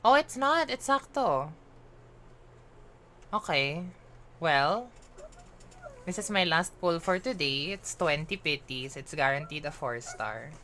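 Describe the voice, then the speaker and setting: Lady streamer, low voice but really animated, playful chatty, game music behind. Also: takes it slowly, pauses often, slight background noise. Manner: chatty, playful, code-switching, self-talking. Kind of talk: casual live gaming chatter.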